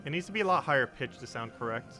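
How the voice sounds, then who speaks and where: A young man with a low voice, chatting casually on a live stream while he games, wandering off on tangents and laughing often. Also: lively intonation, fast, music in the background. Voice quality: low-pitched voice.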